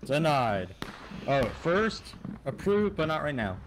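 A man's voice: on the high side, sing-song, slow. A man giving short tactical calls to his team in a multiplayer war game. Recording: loud game noise over voice chat.

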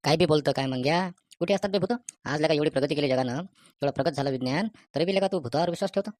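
Brash cartoon village skeptic, young man, rural Vidarbha accent, deep for a female performer, very dry sound woman acts it. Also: fast talker, a little mellow, no echo, natural voice. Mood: scornful. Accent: rural Vidarbha Marathi. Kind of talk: rustic comic cartoon dialogue.

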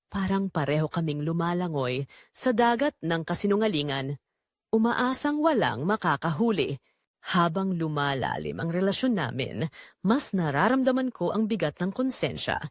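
A woman's voice: medium pitch, dry recording, studio quality. A woman narrating a scripted dramatic story in the first person.

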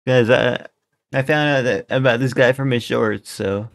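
A man's voice: mid-range voice, steady tone, normal speed, vocal fry. A young man giving laid-back, slangy reaction commentary as a streamer at his desk. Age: young adult. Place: indoor room at a desk.

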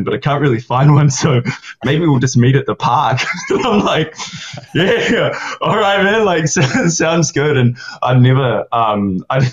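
Lively New Zealand man on a call. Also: fairly low pitch. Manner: animated, laughing through his story, gesturing. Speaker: software co-founder, guest. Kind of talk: casual storytelling. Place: small room at home. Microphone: video call webcam. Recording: dull lo-fi call audio.

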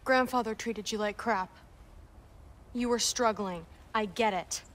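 A woman's voice: mid-range voice, measured pace, a little hiss or hum, lightly acted. A young woman playing a fierce but loving warrior daughter in a video game drama, earnest and emotionally direct. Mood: hurt, exasperated.

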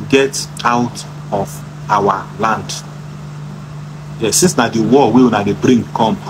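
A man's voice: on the low side, background noise. A man with a Nigerian Pidgin accent ranting defiantly in a social media clip. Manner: defiant, threatening. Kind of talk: angry social media rant.

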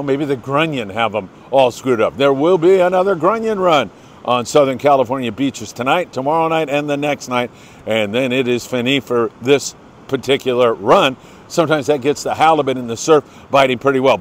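An older American man hosting a casual fishing report, chatty, folksy and enthusiastic. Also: on the high side. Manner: chatty, enthusiastic, folksy, congratulating people by name. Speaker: host of a fishing report show.

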